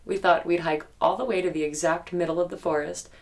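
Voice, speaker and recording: A woman's voice: lowish voice, fairly even intonation, natural voice. An actress playing a guarded young woman in an audio drama, recounting a haunted childhood memory in a hesitant, reflective, documentary-interview way. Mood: wistful, guarded. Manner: hesitant, reflective storytelling with pauses.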